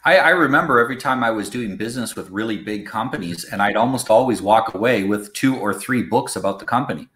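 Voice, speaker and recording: Male podcast host, kinda low voice, thoughtful, stumbles a bit, echoey room. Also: expressive, quick pace, hardly any pauses, fairly bright tone. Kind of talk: interview questions. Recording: roomy echo.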